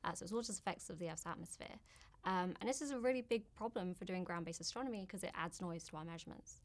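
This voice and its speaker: A southern English woman with a slightly low voice gives an interview. She explains her research in an orderly, explanatory way. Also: fast talker. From Oxford, England. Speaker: postdoctoral astronomy researcher.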